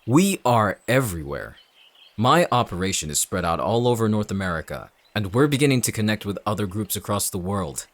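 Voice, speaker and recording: An actor playing a cold, calculating villain in an audio drama, speaking in a measured, smug, menacing way. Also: male voice, lowish voice, sing-song, no echo. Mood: smug, zealous. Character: cold, calculating male villain leading an anti-shifter operation. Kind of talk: dramatic audio roleplay acting.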